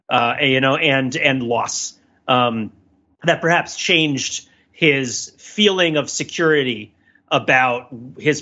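A man's voice: medium pitch, pushed and bright, lo-fi sound. A man co-hosting a podcast, talking casually in a discursive, analytical, wordy way. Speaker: podcast co-host. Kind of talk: casual discussion and cultural commentary.

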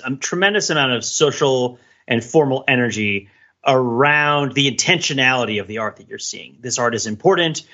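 A man's voice: medium pitch, sharp tone, dull audio. A man co-hosting a podcast in casual talk, with a wry, wordy, analytical way of explaining things. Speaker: podcast co-host.

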